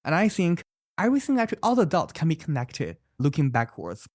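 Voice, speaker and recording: Founder, thirties, really lively up-and-down voice, lots of "right?" and examples. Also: medium pitch, brisk, no echo, clean studio sound. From China. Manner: animated, emphatic, often adds "right?"; explains with many examples. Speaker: startup founder. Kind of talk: interview answers.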